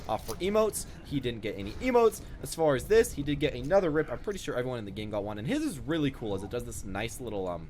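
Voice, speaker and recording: A man's voice: medium pitch, sing-song, fast, hardly any pauses, a little mellow, slight background noise. A young man giving casual, rambling off-the-cuff commentary as a gaming video host.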